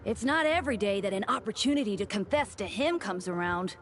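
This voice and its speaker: A woman's voice: medium pitch, expressive, brisk, natural voice. Woman as a courteous deacon with lilting delivery. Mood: breezy. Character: suave, courteous young church deacon, gently teasing and devout. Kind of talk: video game voice acting.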